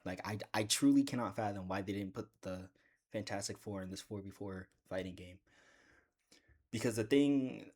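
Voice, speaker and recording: A man with a deep voice chatting casually in a gaming vlog, rambling and joking with bursts of excitement. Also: moderate pace. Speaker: gaming video creator.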